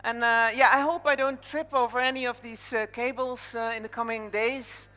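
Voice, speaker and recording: A woman's voice: on the high side, steady tone, moderate pace, bright, forceful tone. A woman from the Netherlands with a Dutch accent, speaking informally as master of ceremonies in a live conference opening address. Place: large indoor conference hall, on stage. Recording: echoey large hall reverb over PA.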